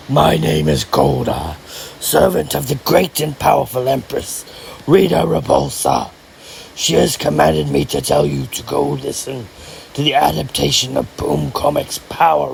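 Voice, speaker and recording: A man playing an imperious henchman of an evil empress in a promo, slow, gravelly, pompous and menacing. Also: on the high side, a little mellow, a little hiss or hum, heavily acted. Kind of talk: villain character promo.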